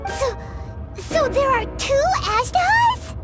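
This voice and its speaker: A woman voicing a tiny, excitable childlike fairy companion in a video game, squeaky and exclamatory. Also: slow, music in the background, exaggerated voice. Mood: astonished.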